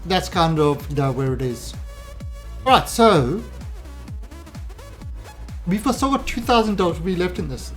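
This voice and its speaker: A man's voice: high voice, animated, unhurried, long pauses, a bit bright. A man in his forties with a South African accent chats casually with viewers while streaming a game. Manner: chatty, rambling, answers viewer chat. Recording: game music behind the voice.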